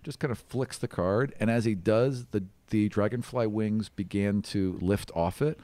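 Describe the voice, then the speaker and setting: A man's voice: lowish voice, a little slow, mellow, dry recording. A man working as game master, improvising descriptive narration in a casual, conversational way.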